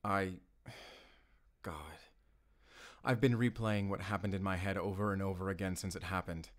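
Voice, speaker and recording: A man playing an anxious, tender father and lover in an audio roleplay, speaking haltingly with sighs. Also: deep voice, level tone, lightly acted. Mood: shaken, tender. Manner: halting, sighing, soft-hearted.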